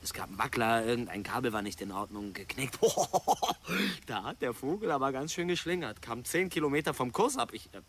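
A man's voice: mid-range voice, big pitch swings, fairly quick, a bit bright, slight background noise. An actor playing a talkative young male student drone pilot in a radio drama, hesitant and muttering as he reassures. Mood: uneasily reassuring. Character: nervy, talkative bearded computer-science student, drone pilot.

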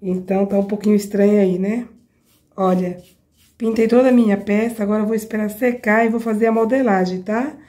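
Hoarse Brazilian woman teaching a craft. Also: mid-range voice, fairly even intonation, a little fast, warm tone. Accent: Brazilian Portuguese. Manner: chatty, addresses viewers directly with frequent checks. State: hoarse from a cold. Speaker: craft tutorial host. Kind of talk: step-by-step craft demonstration. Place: home craft table, indoors.

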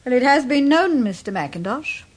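Radio drama gracious, curious wife, RP, high and expressively varied. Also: female voice, very animated, fairly quick, breathy edge, full character voice. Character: poised, inquisitive detective's wife, gracious. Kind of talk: British radio drama acting.

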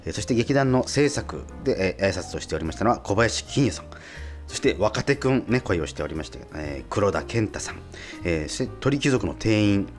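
A man's voice: fairly low pitch, expressive, a little fast, faint music behind. A man hosting a radio show, mixing polite announcing with casual conversational asides. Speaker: radio host, actor.

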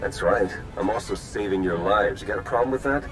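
A man's voice: lowish voice, a little fast, music in the background, close to an everyday voice, rough recording. An actor playing a wry, confident young male secret agent in a video game, talking casually with dry wit. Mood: urgent, assured. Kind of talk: scripted video game cutscene acting.

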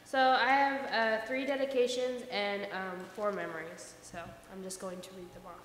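A woman reads out listener letters in an informal way, at a medium pitch. She is in a church with a long reverb and faint noise behind her. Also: flat delivery, moderate pace, fairly bright tone. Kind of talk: informal reading aloud of letters and announcements. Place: large church sanctuary. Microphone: old-fashioned stand microphone, far camera. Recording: echoey church hall, faint room noise.

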